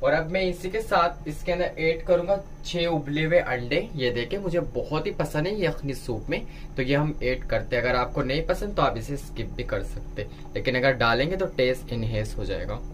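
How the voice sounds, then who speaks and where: A man's voice: medium pitch, expressive, normal speed, slight background noise. A man giving a step-by-step cooking tutorial as a home cook, instructing viewers directly. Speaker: home cook presenting a recipe.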